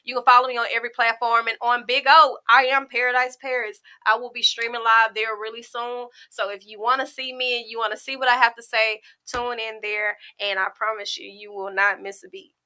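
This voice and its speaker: A woman's voice: fairly high pitch, little pitch movement, brisk, few pauses, bright, forceful tone, clean tone. A woman in her twenties from Arkansas with a Southern American accent, talking with lots of energy and emphasis as a podcast guest. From Arkansas, USA. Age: 20s. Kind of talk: casual interview chat. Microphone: mic at some distance from the mouth.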